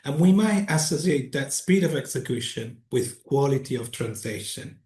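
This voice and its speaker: A middle-aged man gives a public lecture at a middle pitch. He speaks in a measured, deliberate way, stressing his key points and asking rhetorical questions. The sound carries the echo of a large lecture hall. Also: expressive, warm tone. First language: Italian. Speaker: university lecturer.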